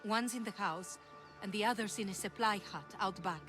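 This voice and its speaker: A woman's voice: medium pitch, normal speed, music in the background, natural voice. A woman playing an earnest, troubled townswoman in a video game, with an emotional delivery. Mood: contrite, pleading. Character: earnest, troubled Greek townswoman pleading for help. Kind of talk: video game cutscene acting.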